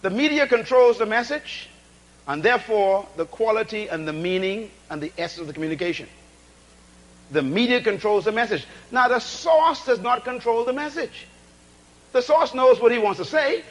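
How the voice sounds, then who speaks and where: A man preaching a sermon, calling on the congregation for responses and driving points home through repetition and rhetorical questions, often dropping to low asides between loud bursts. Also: expressive, normal speed, low-quality recording. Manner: swings between shouted emphasis and low asides.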